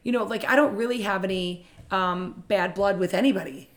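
A woman with a slightly raspy voice, a real estate leader chatting enthusiastically in a podcast conversation. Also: mid-range voice, fairly quick.

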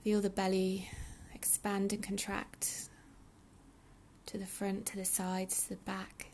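A woman leading a guided meditation in a soothing, unhurried, breathy soft delivery with pauses. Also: medium pitch, monotone, slight background noise. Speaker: meditation guide, yoga teacher.

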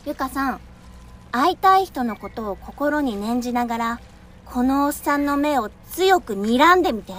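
An actress playing a pushy, cheeky high-school girl in an audio drama, talking casually and teasingly. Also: expressive, normal speed, a bit bright, slight background noise. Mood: brisk, confident. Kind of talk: Japanese audio drama acting.

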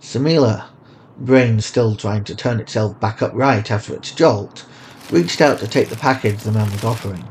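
A man's voice: fairly low pitch, normal speed, slight background noise. A man reading scripted fiction as a story narrator.

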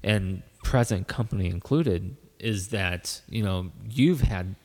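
Earnest, low-voiced rambling man. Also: slow pace, darkish tone, big-room sound. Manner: earnest, rambling, many restarts. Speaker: podcast host. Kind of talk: casual chat.

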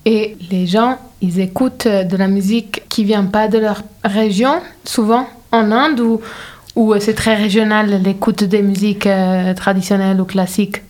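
A woman's voice: medium pitch, fairly even intonation, normal speed, a little mellow. A woman interviewing a guest, asking long, curious questions with frequent hesitation fillers. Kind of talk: conversational interview.

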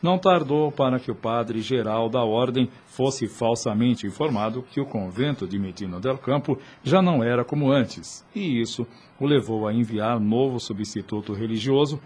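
A man's voice: medium pitch, normal speed, few pauses, darkish tone, breathy edge. A man with a Brazilian accent reading scripted radio drama narration in a solemn, literary manner. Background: music.

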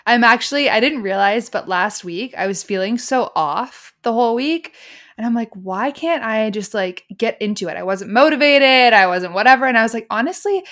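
A woman's voice: a little high, moderate pace. Giggly young woman podcast co-host. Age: 20s. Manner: giggly, animated, uses lots of "like". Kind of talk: casual chat.

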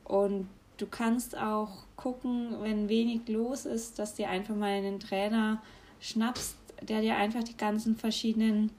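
A young woman speaks in a relaxed, unhurried way. She chats casually, with fillers and small laughs. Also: mid-range voice, slight background noise. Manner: chatty, laughs often, hesitant fillers. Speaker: vlogger. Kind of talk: casual advice talk.

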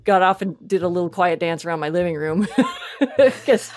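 Woman, lowish pitch, casual interview chat, effusive, self-mocking storyteller, slips into quoted asides. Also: lively intonation, moderate pace, a little mellow. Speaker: interview guest, podcast writer and voice actor.

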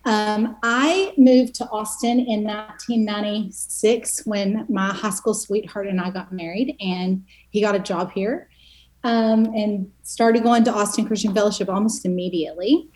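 A woman's voice: medium pitch, steady tone, unhurried, darkish tone, rough recording. A woman in her forties from East Texas, a podcast guest telling her story in a chatty way that often laughs at herself. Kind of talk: casual conversational storytelling.

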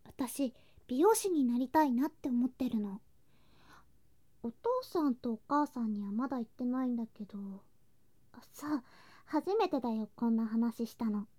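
A woman voicing a sweet, affectionate younger girl cousin in a Japanese ASMR situation drama, in a cutesy, clingy manner with soft whispery touches. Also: fairly high pitch.

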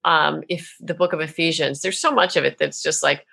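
A woman from Tennessee with no regional accent, an animated, expressive storyteller talking as a podcast guest. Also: sits low, fairly quick, gravelly, husky. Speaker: guest, author and minister. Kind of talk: casual interview talk.

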